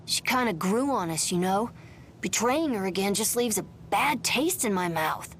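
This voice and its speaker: An actress playing a blunt, scrappy young spirit in a video game, talking in a casual, slangy way. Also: female voice, mid-range voice, expressive, normal speed, slight background noise, close to an everyday voice. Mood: stubborn, loyal. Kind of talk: animated video game voice acting.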